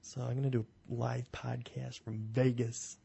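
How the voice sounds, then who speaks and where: Raspy-voiced young man losing his voice. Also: medium pitch, little pitch movement, moderate pace, mellow. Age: young adult. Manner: joking, banters back and forth. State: losing his voice after being sick. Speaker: podcast host. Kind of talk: casual podcast chat.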